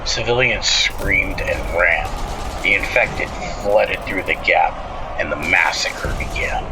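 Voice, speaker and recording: A man's voice: deep voice, vocal fry, rough recording. A man narrating a scripted horror story as a show host, dramatically, in short clipped phrases. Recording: music bed under the voice. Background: music.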